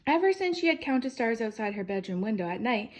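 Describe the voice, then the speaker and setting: A woman with a slightly high voice reads a children's biography aloud in storytime style. She phrases deliberately, starting sentences with emphasis and letting the endings fall away softly. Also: very animated. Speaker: storyteller reading a picture book aloud.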